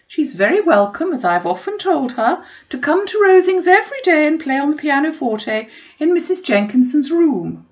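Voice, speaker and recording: A woman's voice: high voice, muffled recording, voice pushed up. A woman reading a storybook as a haughty, bossy older aristocratic lady, imperious and condescending, with emphatic delivery.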